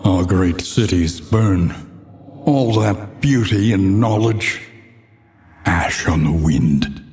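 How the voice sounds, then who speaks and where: A solemn narrator of a ruined gothic world, speaks with heavy, foreboding theatricality. His voice is low and very unhurried, each phrase measured out for a dark fantasy game. A long reverb trails behind the words. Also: lively intonation. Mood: grim, foreboding. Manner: theatrical, ominous, measured, florid. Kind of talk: dramatic scripted game narration.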